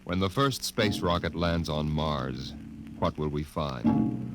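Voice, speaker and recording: Portentous narrator over loud music. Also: male voice, a bass voice, slow pace. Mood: grave, dramatic. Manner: grave, theatrical, dramatic delivery. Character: portentous male narrator of space adventure. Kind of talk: 1950s radio drama narration. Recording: old radio broadcast under loud music.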